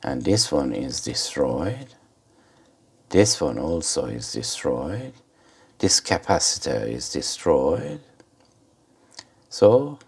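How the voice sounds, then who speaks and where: A man giving an informal hands-on commentary for a repair video, speaking haltingly in short phrases with pauses. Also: low-pitched, creaky, darkish tone, husky. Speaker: host of an electronics repair video.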